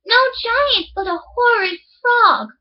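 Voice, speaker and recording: A woman's voice: extremely high pitch, expressive, slow pace, muffled recording, pitched above the performer's own voice, exaggerated voice. A woman voicing an anxious, easily upset young princess in a plaintive, exclaiming storybook manner.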